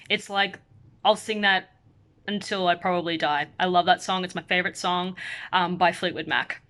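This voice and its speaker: A woman's voice: mid-range voice, a bit bright. A young woman from Australia with a mixed Australian and American accent, a singer-songwriter chatting casually and upbeat while answering fan questions.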